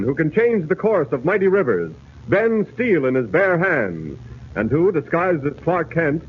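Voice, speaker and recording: Man, theatrical serial narrator, lively intonation, emphatic, phone-like 1940s broadcast with faint noise. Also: on the high side, husky. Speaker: announcer and narrator. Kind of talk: dramatic 1940s radio narration. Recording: tinny, noisy 1940s radio broadcast.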